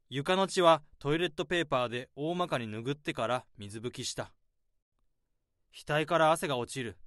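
A man's voice: on the high side, a bit bright, dry recording, studio quality. A man voicing a harried office worker who narrates in first person as he hides his boss's body, in a tense radio-drama monologue. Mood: grim brooding. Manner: tense inner monologue, rising to frantic self-pep talk. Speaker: narrator and protagonist.

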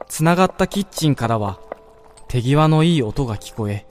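A man playing a wistful dreamer in a radio drama, telling of his own dream in a measured, reflective first-person narration. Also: mid-range voice, lively intonation, close to an everyday voice. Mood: reflective, calm. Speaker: narrator-protagonist. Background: background music.

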